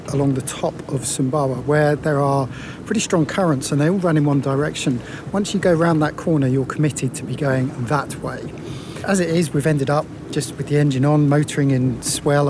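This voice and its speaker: A man hosting a sailing vlog, explaining things casually in a laid-back, wry, matter-of-fact way. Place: outdoors on a sailboat. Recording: noisy outdoor boat recording, engine rumble.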